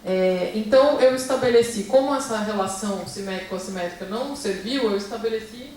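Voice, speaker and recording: A woman's voice: medium pitch, a little fast, a little mellow, slight background noise. Brazilian woman lecturing in an echoey hall. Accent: Brazilian Portuguese. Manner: hesitant, many fillers and restarts, asks for next slide. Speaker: seminar lecturer. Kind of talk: academic talk presenting research with slides. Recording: echoey hall, speaker far from mic.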